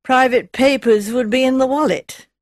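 A woman's voice: sits high, moderate pace, dry recording, studio quality, pitched above the performer's own voice, lightly acted. A woman voicing a stout, jovial, pushy middle-aged man in an audiobook, hearty and colloquial. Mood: probing. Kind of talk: audiobook character voice.

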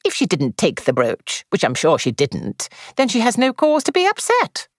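A woman voicing an elderly, patient spinster who speaks crisply and dryly. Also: mid-range voice, wildly swooping pitch, moderate pace, no echo, voice pushed up. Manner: crisp and dry.

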